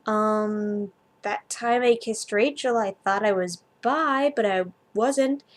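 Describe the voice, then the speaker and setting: A woman voicing a groggy, hungover, gentle and apologetic young man in a story reading. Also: medium pitch, slow pace, close to an everyday voice. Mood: gently unsure.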